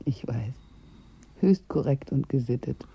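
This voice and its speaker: An actress playing an earnest, gently ironic climatology student in a radio drama, speaking softly and reflectively. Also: female voice, very deep voice, lively intonation, measured pace, breathy edge, highs cut off, heavily acted. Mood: drowsy, teasing. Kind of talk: German radio drama acting, naturalistic dialogue.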